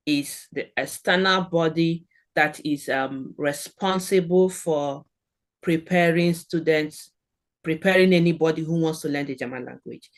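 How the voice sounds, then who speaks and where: A middle-aged woman with a Nigerian accent, a guest on a podcast, giving earnest encouraging advice in short phrases. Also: sits low. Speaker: guest, German language expert. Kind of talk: podcast interview.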